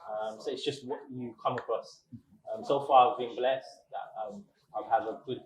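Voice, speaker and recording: A man, a restaurant owner answering interview questions in a relaxed, measured way with many pauses. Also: mid-range voice, a crowd in the background. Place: small restaurant. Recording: roomy echo.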